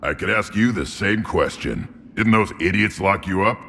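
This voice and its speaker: An actor voicing a young male krogan warrior, brash and proud, with a gravelly voice and a gruff, blunt way of talking. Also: low voice, lively intonation, normal speed, sharp tone, big-room sound, a little hiss or hum. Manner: gruff, blunt soldier talk. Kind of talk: video game voice acting.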